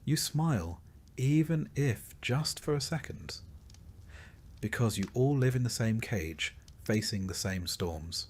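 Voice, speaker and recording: A man reading a scripted documentary-style narration in a measured, dramatic storytelling manner with wry, dry asides. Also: medium pitch, expressive. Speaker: narrator of history sleep stories.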